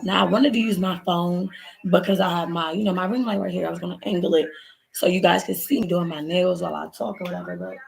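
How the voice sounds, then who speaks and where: Hoarse gravelly woman chatting to camera. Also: lowish voice, a little mellow. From Baltimore, USA. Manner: chatty, rambling, addresses viewers as y'all. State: hoarse, lost voice. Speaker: vlogger. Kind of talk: casual story time while doing nails. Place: at home. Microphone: laptop built-in mic.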